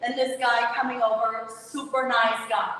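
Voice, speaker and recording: A woman hosting an interview, chatting and telling stories in an animated way. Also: on the high side, moderate pace. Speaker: podcast host, interviewer. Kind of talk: casual interview conversation. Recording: roomy hall, distant mic, faint noise.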